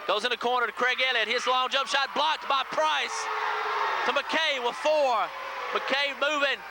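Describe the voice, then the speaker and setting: A man doing live radio play-by-play of a high school basketball game in short, clipped phrases. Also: a little slow. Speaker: radio play-by-play sports announcer. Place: school gym during a game. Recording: noisy 1980s local radio audio from VHS. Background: loud gym crowd and noise.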